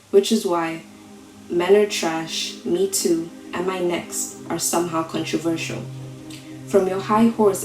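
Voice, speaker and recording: A woman performing slam poetry in a deliberate, rhetorical way, with dramatic pauses. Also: on the low side, mellow. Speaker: slam poet. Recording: roomy echo, faint music behind.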